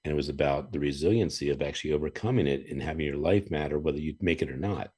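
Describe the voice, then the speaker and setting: A man hosting a podcast, chatting casually and telling animated stories with chuckles and frequent throat-clearing. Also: a bass voice, fast talker. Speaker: podcast host. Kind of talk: casual interview chat.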